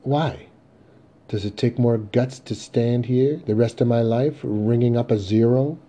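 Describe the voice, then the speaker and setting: Man acting a desperate, husky, rambling old salesman. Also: medium pitch, unhurried, dark tone, lo-fi sound, pitched above the performer's own voice, natural voice. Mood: indignant. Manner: insistent, repetitive, pleading. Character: desperate, rambling aging salesman father clinging to a scheme.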